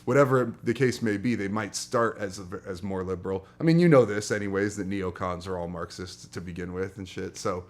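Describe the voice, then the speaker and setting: Man, lowish pitch, podcast co-host, slangy, wry, laid-back banter. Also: fairly even intonation, a little fast, hardly any pauses. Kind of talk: casual banter.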